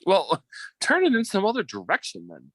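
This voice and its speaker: Man acting a smooth scheming suitor, swooping pitch. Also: huge pitch swings, normal speed, a bit bright, dry recording, heavily acted. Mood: nervous pleading. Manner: theatrical, stammering when flustered. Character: smooth scheming suitor, bullying yet cowardly con man. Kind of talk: old-time radio drama acting.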